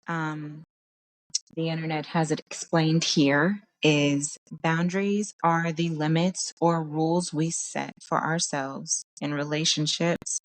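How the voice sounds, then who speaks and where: A woman hosting a casual solo podcast, her voice hoarse from crying, talking in a tired, meandering way and stopping to search for words. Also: deep voice. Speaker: podcast host. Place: at home.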